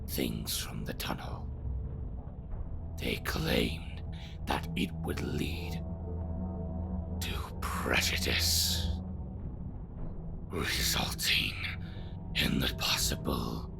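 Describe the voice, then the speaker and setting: An actor playing a bitter, courtly schemer in an audio drama, speaking theatrically in a gravelly half-whisper with formal, archaic wording. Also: rumbling low, steady tone, drawn-out words, pauses often, loud music behind the voice, heavily acted. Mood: brooding, bitter. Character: bitter, lamenting, courtly schemer bound by a bargain. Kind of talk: dramatic scripted monologue.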